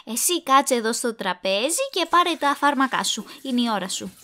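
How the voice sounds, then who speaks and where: Actress playing a high-pitched, animated, flustered woman. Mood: flustered, nervous. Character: flustered woman writer caring for an amnesiac man, evasive, protective. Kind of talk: audio drama acting.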